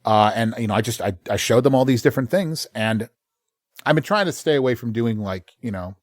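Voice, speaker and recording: A man, a voice actor, chatting casually in an interview and telling stories with lively quick voice changes. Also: medium pitch, dry recording, studio quality. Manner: animated storyteller, slips into quick voices. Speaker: voice actor guest.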